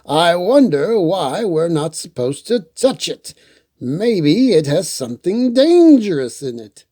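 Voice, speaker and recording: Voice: mid-range voice, very animated, slow pace, warm tone, dry recording, clean studio sound, voice pushed up. A storyteller voicing a curious, helpful father from a children's picture book. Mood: curious, wary.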